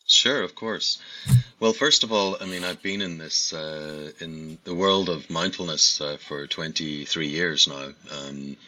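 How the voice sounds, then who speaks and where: A middle-aged man with a Northern Irish accent, speaking as a podcast guest in a hesitant way, filling pauses with "uh" and restarting his sentences. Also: a bass voice, bright, forceful tone, no room sound, rough recording. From Northern Ireland. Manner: hesitant, many fillers and restarts. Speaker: guest, company founder. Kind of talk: podcast interview.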